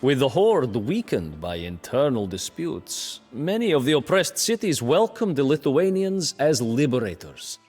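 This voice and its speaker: A man playing a grave, wry captive chronicler, narrating history in a measured, storytelling way for a game cutscene. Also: mid-range voice. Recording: long reverb under music. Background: music.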